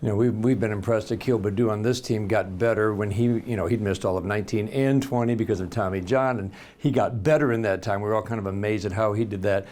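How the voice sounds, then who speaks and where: A man's voice: lowish voice, steady tone, fast talker, few pauses, darkish tone. An American man working as a baseball broadcaster, conducting a conversational interview with long, chatty lead-in questions.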